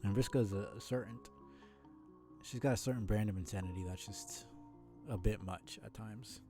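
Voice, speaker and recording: A man's voice: lowish voice, normal speed, warm tone, soft background music. Blunt, sweary man commenting casually on stream. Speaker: streamer reading a webcomic aloud.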